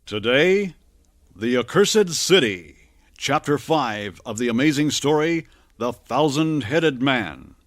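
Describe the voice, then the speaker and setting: A man's voice: mid-range voice, expressive, takes it slowly. A man announcing and narrating a pulp adventure radio serial in a grand, theatrical, scripted style. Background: dramatic music.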